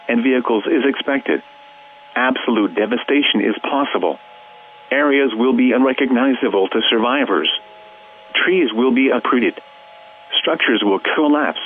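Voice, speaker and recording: A synthetic male voice playing an automated weather radio announcer, reading an emergency bulletin in a flat, measured, mechanical way. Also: mid-range voice, natural voice. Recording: tinny narrowband radio, hum and hiss.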